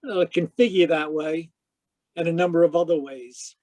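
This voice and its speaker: A man plays a hard-boiled private eye in a vintage-style radio mystery. His voice sits fairly high, and he is wry, persistent and matter-of-fact. The sound is very dry and close. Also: moderate pace, darkish tone, no room sound, natural voice. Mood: pressing. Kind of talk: old-time radio detective narration and dialogue. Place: close dry sound, remote live performance.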